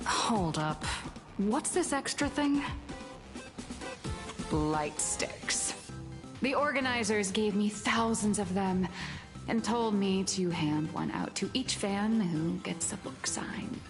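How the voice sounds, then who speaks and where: A woman's voice: mid-range voice, slow. A woman playing a bubbly, self-important female comic artist in a video game, with a dramatic, griping manner. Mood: chatty, pitching. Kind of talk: video game character dialogue. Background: game music.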